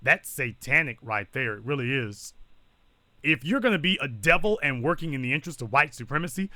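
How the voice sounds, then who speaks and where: A man's voice: medium pitch, lively intonation, fairly quick, bright, forceful tone, no echo. A man hosting a live call-in talk show, speaking in a blunt, lecturing way.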